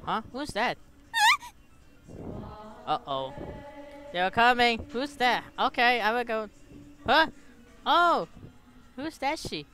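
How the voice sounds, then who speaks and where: A gaming streamer, giving exclamatory, halting English commentary and reading game text aloud. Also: mid-range voice, animated, sharp tone, soft background music. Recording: clear background noise and clicks.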